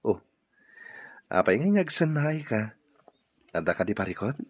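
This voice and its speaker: Low, soft, tender young male suitor. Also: very animated, a little slow, slightly rough, heavily acted. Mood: reassuring. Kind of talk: radio drama acting in Ilocano. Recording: dull lo-fi sound with music.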